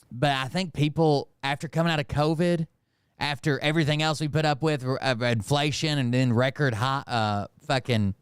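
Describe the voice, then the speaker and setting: A man's voice: mid-range voice, smooth, no echo, studio quality. A man from Montreal hosting casual political commentary in a blunt, mocking, sweary way. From Montreal, Canada. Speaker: host commenting on political clips.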